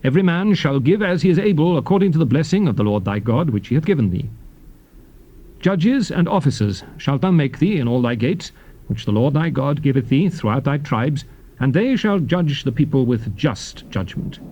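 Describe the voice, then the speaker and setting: An actor playing a solemn biblical lawgiver, declaiming scripture to his people in a formal, measured theatrical style. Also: a little high, lively intonation, dark tone, lo-fi sound. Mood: stern, commanding. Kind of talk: dramatized scripture reading, formal theatrical delivery. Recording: faint noisy ambience, cassette tape recording.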